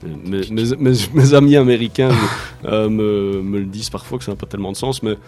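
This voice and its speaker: A man's voice: on the low side, brisk, mellow, soft background music. A French-speaking man, a band's songwriter, talking casually in an interview with many fillers and restarts. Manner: hesitant, many fillers and restarts, laughs easily. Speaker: musician, band songwriter.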